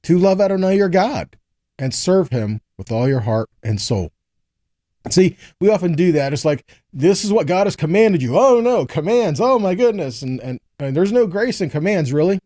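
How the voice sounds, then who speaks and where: Higher-pitched, mellow male Bible teacher. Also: expressive, moderate pace, no room sound, clean studio sound. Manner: earnest, instructive, checks in with rhetorical questions. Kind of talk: conversational scripture teaching, reading verses aloud and explaining.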